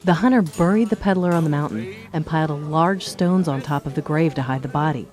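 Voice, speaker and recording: An actor playing a small-town bartender in a radio play, recounting a local legend in a measured, ominous manner. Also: medium pitch, a little mellow, soft background music, natural voice. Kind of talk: dramatic storytelling in a radio play.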